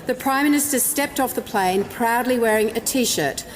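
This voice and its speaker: A woman's voice: fairly high pitch, normal speed, slight background noise. A woman reading a scripted news report. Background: music.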